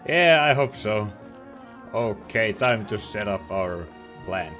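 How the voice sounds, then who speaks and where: A man's voice: fairly low pitch, expressive, takes it slowly, fairly bright tone. A man giving casual commentary as he plays through a video game. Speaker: let's play gamer. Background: game music and dialogue.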